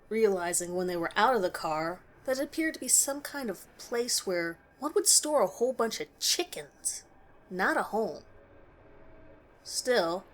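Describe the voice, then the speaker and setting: A woman reading a scripted first-person horror story in a measured storytelling way with expressive emphasis. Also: mid-range voice.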